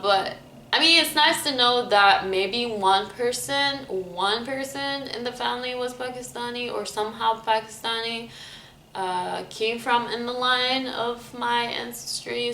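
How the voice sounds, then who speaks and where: Woman from lebanon just rambling casually to viewers, bright voice, echoey room w a bit of noise. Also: on the high side, a little slow. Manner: informal, hedging, thinking aloud. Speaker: vlogger. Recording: roomy echo, faint background noise.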